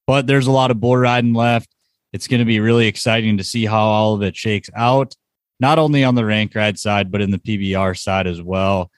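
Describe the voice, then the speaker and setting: A man's voice: lowish voice, fairly even intonation, brisk, no room sound. A man hosting a casual sports podcast, chatting informally about results and what is coming up. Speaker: podcast host.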